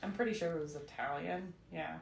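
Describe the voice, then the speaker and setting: A woman's voice: on the low side, brisk, vocal fry, slight background noise. A young woman hosting a podcast, telling history in a casual, slangy, irreverent way. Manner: irreverent, giggly, sweary. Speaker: podcast host. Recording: roomy, echoey sound.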